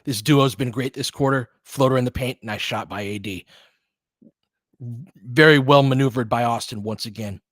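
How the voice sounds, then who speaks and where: A man's voice: medium pitch, moderate pace, a bit bright, dry recording. Casual partisan male basketball commentator, dry sound. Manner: partisan fan, informal, rooting for his team. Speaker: play-by-play commentator and host. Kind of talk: live basketball play-by-play with casual analysis.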